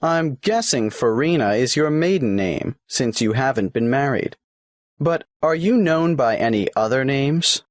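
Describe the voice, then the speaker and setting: A man plays a polite government clerk who takes an applicant through a form, asking his questions one by one in a patient, matter-of-fact way. His pitch rises and falls in a lively way, and the recording is dry and close. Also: measured pace, dry recording, close to an everyday voice. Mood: businesslike. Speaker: tax office clerk. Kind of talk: scripted exam listening dialogue.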